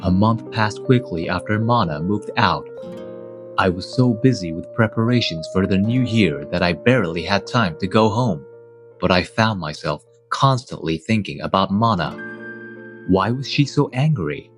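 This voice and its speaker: A man's voice: low-pitched, animated, normal speed, soft background music. A man playing a devoted, conflicted guardian who narrates his own story in a manga dub. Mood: troubled, reflective.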